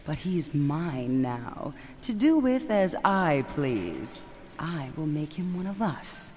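Scheming young villainess, proud, dramatic, lively pitch, noisy reverberant audio drama. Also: female voice, slow pace, exaggerated voice. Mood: possessive. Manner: haughty, drawling, dramatic. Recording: long hall reverb with noise.

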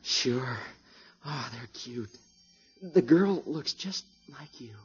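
An actor playing a gentle, shyly proud young husband with a hushed, husky voice, in 1950s radio drama. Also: male voice, mid-range voice, animated, slow pace, full character voice. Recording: dull old radio recording.

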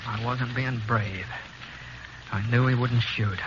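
An actor playing a steady, laconic gunslinger drifter in a 1950s radio western, with understated, folksy Western delivery. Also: male voice, mid-range voice, slow pace, natural voice. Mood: reflective. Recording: muffled, noisy 1950s radio recording.

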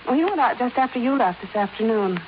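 A woman's voice: a little high, animated, fast talker, natural voice. Lady playing a breezy rich wife in old radio drama, composed, bit muffled, faint hiss. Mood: casual. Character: poised, unworried wife of a wealthy man. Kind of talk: 1950s American radio drama acting. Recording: dull 1950s radio recording, faint noise.

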